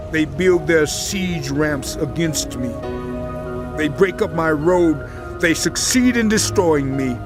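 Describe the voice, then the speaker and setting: An actor playing a suffering righteous man in a dramatized audio Bible, with solemn, impassioned, measured delivery. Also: on the high side, close to an everyday voice.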